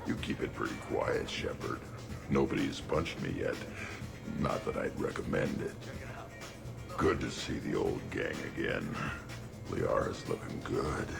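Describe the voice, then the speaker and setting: An actor playing a gruff, hulking alien brute with a very deep, gravelly voice, speaking bluntly. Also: male voice, expressive, measured pace, heavily acted. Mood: slurred, loosened up. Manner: blunt, terse. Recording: music playing behind the voice.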